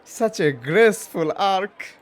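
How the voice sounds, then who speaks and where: A woman's voice: mid-range voice, animated, takes it slowly, a little hiss or hum. A woman giving casual, playful commentary while playing a video game. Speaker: streamer reacting to a game. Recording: game music behind the voice.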